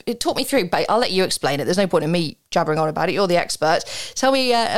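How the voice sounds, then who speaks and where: Woman hosting a podcast, slightly low voice. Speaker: podcast host and interviewer. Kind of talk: conversational podcast interview.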